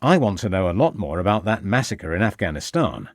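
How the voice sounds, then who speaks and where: A man reading the part of a Victorian police inspector in a storybook, brisk, formal and commanding. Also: deep voice, very animated, dry recording, studio quality, full character voice. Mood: businesslike.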